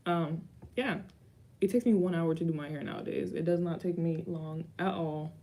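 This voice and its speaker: A woman's voice: fairly low pitch, moderate pace, warm tone, a little hiss or hum. A young woman in her twenties answering viewer questions in a rambling, casual vlog chat with dry asides. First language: Haitian Creole. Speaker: YouTuber.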